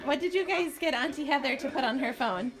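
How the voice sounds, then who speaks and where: A woman's voice: sits high, brisk. A woman chatting casually as co-host of a family vlog.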